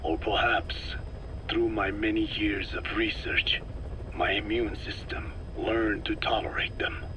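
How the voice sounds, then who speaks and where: An actor playing an ancient, soft-spoken scientist in a video game, with a breathy aged voice and a measured, formal way of telling things. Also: male voice, steady tone, natural voice. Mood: measured, wistful. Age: older. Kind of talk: dramatic video game dialogue. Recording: noisy cassette tape with vehicle-like rumble.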